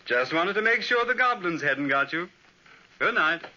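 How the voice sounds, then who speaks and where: A genial upper-class gentleman narrates and acts his scenes in a hearty, theatrical way. His voice is bright and slightly husky, with lively swings in pitch. It is an old radio drama with a dull, muffled broadcast sound. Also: normal speed. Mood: hearty, exasperated. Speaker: narrator and lead character. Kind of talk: 1940s radio acting.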